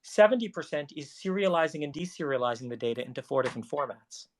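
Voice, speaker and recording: A man's voice: a little high, animated, quick pace, slight background noise. A man talking casually and technically, like an engineer in a live discussion.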